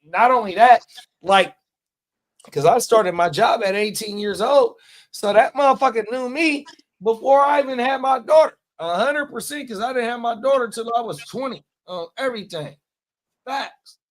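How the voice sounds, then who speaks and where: A man's voice: extremely high pitch, normal speed. A man in his forties co-hosting a casual live-stream chat, emphatic and swearing freely, repeating stock phrases. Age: 40s. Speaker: podcast co-host.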